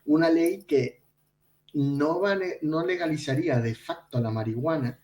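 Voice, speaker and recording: A man co-hosting a podcast in conversational financial news discussion, explaining things haltingly with repeated words. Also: a little high, a little mellow. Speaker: podcast co-host. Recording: roomy echo, distant from mic.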